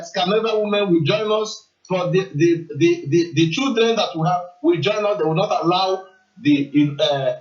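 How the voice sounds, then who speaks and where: A man calling in to a radio stream from Denmark, speaking with passion and often stopping to restart his words. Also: high-pitched. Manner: impassioned, halting with repeated restarts. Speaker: caller. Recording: echoey muffled lo-fi line.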